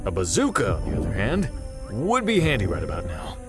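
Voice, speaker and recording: Lively, blunt American army sergeant. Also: male voice, on the low side, fairly quick. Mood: wry concern. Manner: blunt, incredulous, colloquial. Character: gruff, wisecracking American army sergeant, adult man. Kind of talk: pulp adventure audio drama acting. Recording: loud music and effects behind.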